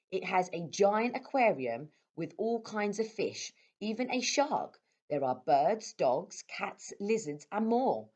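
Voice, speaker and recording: A woman voicing a patient, kindly mother in a children's storybook, reassuring and expressive. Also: mid-range voice.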